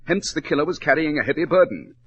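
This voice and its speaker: A dull old radio recording of a detective, superior and coolly analytical. His husky voice swings widely as he lectures in clipped, theatrical phrases. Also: fairly high pitch, expressive, fast, a bit bright. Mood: superior, deductive. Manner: pompous, clipped, instructive. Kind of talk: 1940s radio drama acting.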